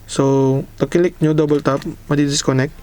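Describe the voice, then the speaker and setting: A man's voice: a little high, steady tone, moderate pace, dark tone, smooth. A man casually unboxing and reviewing a budget helmet intercom, talking straight to his viewers and calling them bosses. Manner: chatty, addresses viewers as bosses. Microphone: camera mic, sometimes through intercom earpiece.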